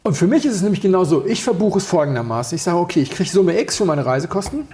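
A man from Berlin chatting casually on a podcast as co-host, rambling through anecdotes with quick asides and self-corrections. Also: high-pitched, expressive, darkish tone. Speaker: podcast co-host and wine taster.

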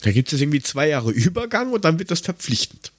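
A man's voice: a little high, sing-song, quick pace, dry recording. An Austrian man chatting casually as a podcast co-host, rambling and grumbling in a sarcastic, coarse-mouthed way.

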